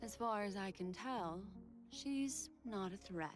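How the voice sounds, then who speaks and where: A woman's voice: medium pitch, expressive, slow pace. A woman playing a level-headed, dryly candid character in a space fantasy game, composed and frank. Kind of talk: scripted game voice acting. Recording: music behind the voice.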